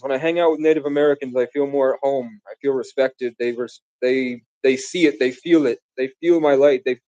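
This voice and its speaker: A man's voice: medium pitch, dark tone, dry recording, lo-fi sound. Rambling grandiose man streaming live. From Bronx, raised in Vermont. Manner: grandiose, meandering, casual slang. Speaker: livestreamer.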